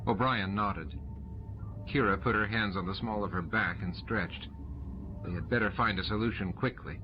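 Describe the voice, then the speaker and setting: Man, audiobook narrator, scripted storytelling, low pitch, dull muffled audio, loud music underneath. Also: normal speed.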